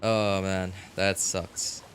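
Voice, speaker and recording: A man live streaming a game, chatting casually in halting phrases that trail off. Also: deep voice, little pitch movement, fairly bright tone, a little hiss or hum. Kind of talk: casual live chat while gaming.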